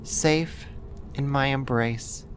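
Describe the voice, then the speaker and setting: Performer acting obsessive yandere neighbor, menacing yet adoring, very slow intimate delivery, mid-pitched, ASMR roleplay. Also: a little hiss or hum. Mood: seductive, possessive.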